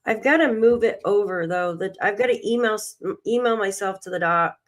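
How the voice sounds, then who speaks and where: A woman's voice: mid-range voice, normal speed. A tired woman hosting a casual livestream, reading documents aloud and muttering to herself as she searches, coughing and losing her voice. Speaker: livestream host.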